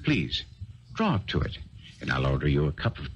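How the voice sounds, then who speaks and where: A courteous, brisk detective in an old radio play. His voice is deep and animated, urbane and precise, with faint noise on the recording. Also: muffled recording. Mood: courteous, reassuring. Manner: urbane, precise, dryly teasing. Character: brisk, courteous, self-assured male detective. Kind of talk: 1970s radio drama acting. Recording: faintly noisy 1970s radio broadcast.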